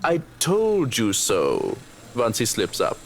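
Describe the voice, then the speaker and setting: An actor playing a sassy, sly assistant in a video game, with a wry, measured, smug delivery. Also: male voice, mid-range voice, wildly swooping pitch, full character voice.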